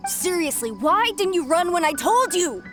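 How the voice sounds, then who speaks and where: A woman's voice: extremely high pitch, very animated, normal speed, over music. A woman voicing a small, earnest young yokai, brave but timid, in a video game. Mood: defiant, scared.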